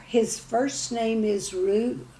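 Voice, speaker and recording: A woman voicing an excitable young schoolchild in a children's story, eager, loud and exclamatory. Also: a little high, extremely slow, reverberant, slight background noise, pitched above the performer's own voice, poor audio.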